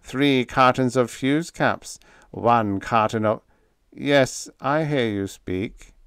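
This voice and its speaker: A man voicing a snappish little spy in a science fiction story, with a halting, self-important, trance-like manner. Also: medium pitch, fairly bright tone, clear, resonant tone, no room sound, clean studio sound, voice pushed up. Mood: entranced.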